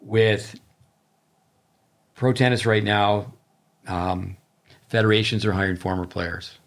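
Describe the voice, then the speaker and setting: A middle-aged man, a tennis coach co-hosting a podcast, talking casually and listing ideas in quick bursts. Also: lowish voice, fairly even intonation.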